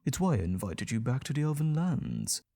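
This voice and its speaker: A man's voice: medium pitch, wildly swooping pitch, moderate pace, vocal fry, dark tone. A man playing a stern, domineering middle-aged elf patriarch in a fantasy audio drama, haughty, commanding and theatrical. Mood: indignant, scheming.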